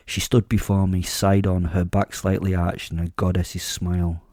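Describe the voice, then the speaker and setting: A very low, velvety voice, close and dry, belongs to a man playing a wealthy werewolf serial killer. He tells his horror tale with measured, smug relish and dark humour. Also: little pitch movement, no room sound. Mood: amused, self-satisfied. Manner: measured, smug, darkly humorous storytelling. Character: suave, vain, wealthy male werewolf serial killer, menacing narrator. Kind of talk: first-person dark horror audio drama narration.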